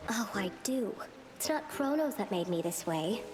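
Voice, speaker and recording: A woman voicing a lonely, guarded recluse in a video game, gentle, self-deprecating and hesitant. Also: mid-range voice, lively intonation, normal speed, over music, lightly acted. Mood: guarded, wry. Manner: hesitant, wistful.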